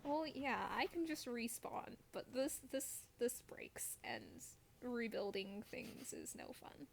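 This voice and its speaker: Playful woman streamer with creaky voice. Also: on the high side, animated, slow pace, slight background noise. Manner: playful, wry self-deprecation. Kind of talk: casual gameplay chat.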